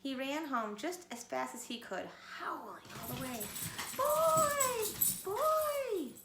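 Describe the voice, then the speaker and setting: A woman teacher reading a storybook aloud to children at home, pausing gently to ask them questions. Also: sits high, expressive, echoey room, heavy background noise. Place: home, pets nearby. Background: dogs playing.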